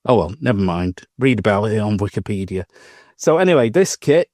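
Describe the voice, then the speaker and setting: A man's voice: fairly low pitch, sing-song, a little fast, darkish tone, no echo. Chatty man, dry close sound. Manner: chatty storytelling with laughs and restarts. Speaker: podcast co-host. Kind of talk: casual chat.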